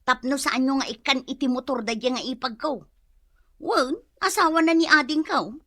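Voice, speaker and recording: A woman's voice: sits high, normal speed, pushed and bright, no echo, clean studio sound. A woman playing a spiteful, bossy, gossiping sister-in-law in a comedic radio drama, scornful and insistent.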